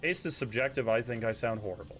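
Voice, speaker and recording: A man with a stuffy, congested tone that leans nasal, rambling casually as he plays. His talk is loose and self-deprecating, with a soft bed of music behind him. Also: mid-range voice, animated, quick pace. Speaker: streamer. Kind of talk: casual live-stream chat while gaming.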